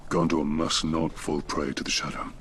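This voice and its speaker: An actor playing a stern, vengeful ranger warrior who speaks tersely in a fantasy video game. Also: male voice, a bass voice, little pitch movement, normal speed, a bit bright. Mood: grim resolve. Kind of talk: video game cutscene acting. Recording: music and noisy ambience behind.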